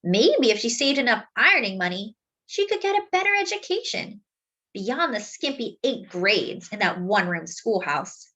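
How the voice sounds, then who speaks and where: A woman reading a children's book aloud as a storyteller, with expressive narration, deliberate phrasing and drawn-out words for emphasis. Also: a little high.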